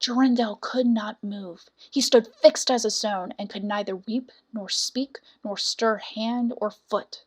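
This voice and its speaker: A woman reading a scripted fairy tale as a storyteller, with dramatic, deliberate phrasing. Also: a little high, fairly even intonation. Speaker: storyteller, audiobook narrator.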